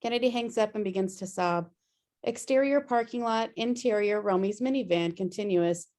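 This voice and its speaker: A woman's voice: mid-range voice, moderate pace, a little mellow. A woman narrating a screenplay's stage directions at a table read.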